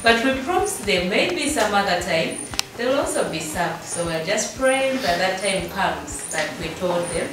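A woman's voice: mid-range voice, big pitch swings, unhurried, recorded from a distance, noisy background. Woman heard off-mic in a very echoey room. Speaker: mission organizer. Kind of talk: interview account. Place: meeting room indoors.

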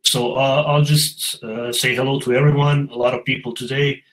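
A man's voice: mid-range voice, little pitch movement, moderate pace, fairly bright tone, rough recording. A man in his thirties hosts an online meetup and introduces the speaker in a casual, hesitant way. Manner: hesitant, many fillers. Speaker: meetup host. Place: home room, indoors. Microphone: headset on a video call.

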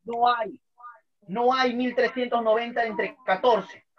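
Patient math teacher guy, keeps asking the class questions, breathy voice, lo-fi webcam mic from a few feet off. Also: very high-pitched, fairly even intonation, slow. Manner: patient, repeats each step, asks students questions. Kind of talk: online class at a whiteboard. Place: small room at a whiteboard.

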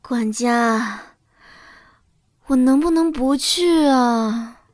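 High-pitched, spirited young princess consort, acted by a woman. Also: drawn-out words, dry recording, studio quality. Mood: reluctant. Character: spirited, sharp-witted young princess consort, breezy and defiant. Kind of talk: audio drama acting in Mandarin.

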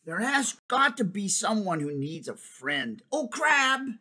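A reader voicing a small, friendly jellyfish in a sing-song, high storybook voice. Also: big pitch swings, slow pace, sharp tone, exaggerated voice. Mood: hopeful. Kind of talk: storybook character voice.

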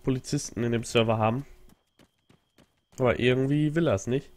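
A man's voice: medium pitch, normal speed, darkish tone. A young man with a stuffy nose from a cold, rambling casually and thinking aloud while he streams a game on a headset mic at home. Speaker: livestreaming gamer. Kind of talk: casual let's play commentary. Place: home gaming setup indoors.

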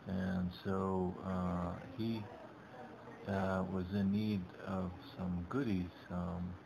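A middle-aged man narrating his shopping trip in a halting, rambling way, full of restarts, over a webcam. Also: low voice, level tone, creaky, a crowd in the background. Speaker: vlogger. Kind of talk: casual narrated shopping vlog. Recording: dull lo-fi webcam audio with background noise.

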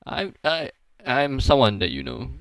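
Someone acts out a cocky, evasive stranger in a home-made voice-changer skit. The voice is creaky and stammers along, with pitch that swings widely. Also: wildly swooping pitch, background noise. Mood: boastful. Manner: halting, stammering. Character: boastful, evasive low-voiced stranger, self-styled pro fighter.

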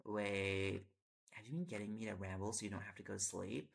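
A man's voice: lowish voice, steady tone, a little fast, vocal fry. A man playing a tired, affectionate young reporter boyfriend in an intimate audio roleplay, playful and coaxing in manner. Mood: teasing, mock indignant. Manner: playful, coaxing, rambling when on a topic. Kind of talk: intimate audio roleplay, sleep-aid acting.

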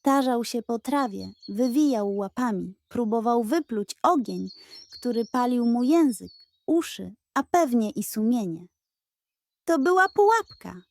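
A woman narrating a children's story in an expressive, scripted storytelling style. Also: a little high, a little slow.

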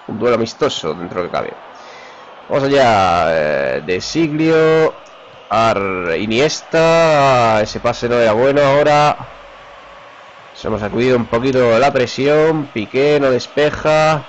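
Man, gamer play-by-play, slightly dull mic, faint hiss. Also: medium pitch. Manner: excited, rapid name-calling of players. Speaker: gamer commentating his own match. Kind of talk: live play-by-play commentary.

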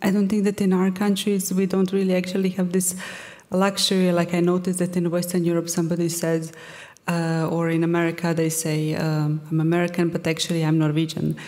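Woman from Bosnia, thirties, low flat voice, earnest, hall reverb. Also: brisk. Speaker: film and theater maker. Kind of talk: panel discussion answers. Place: theater stage. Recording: long reverb of a theater hall.

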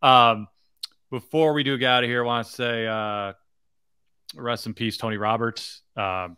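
A man's voice: medium pitch, fairly even intonation, measured pace, sharp tone, clean tone, dry recording. A man in his thirties co-hosting a sports podcast, speaking casually into a desk microphone indoors. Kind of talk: casual postgame sports chat.

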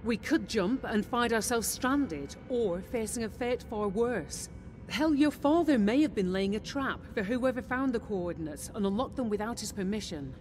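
An actress voicing a steady, loyal female officer in a video game, giving briefings in a measured, serious way. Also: a little high, a little hiss or hum, lightly acted. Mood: wary, serious. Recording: music behind the voice.

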